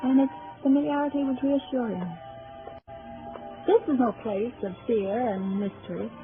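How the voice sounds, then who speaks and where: A woman's voice: on the high side, fairly even intonation, normal speed, over music, close to an everyday voice. An actress playing a nervous, suspicious woman who lives alone, in a dramatic old-time radio style with hesitant delivery. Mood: uneasy, brooding. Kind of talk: old-time radio drama acting, with first-person narration. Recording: muffled, tinny old radio broadcast.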